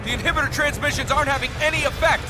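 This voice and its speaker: An actor playing a panicked control-room technician in a game cutscene, frantic and urgent. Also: male voice, very high-pitched, fairly even intonation, very fast, over a noisy crowd, very noisy. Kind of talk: video game cutscene acting. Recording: loud music behind.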